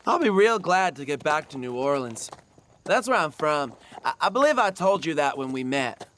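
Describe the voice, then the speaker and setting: An actor playing a chatty, cocky young fortune seeker in an audio drama, wheedling and stammering when challenged. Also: male voice, a little high, big pitch swings, moderate pace, fairly bright tone, a little hiss or hum. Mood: complaining.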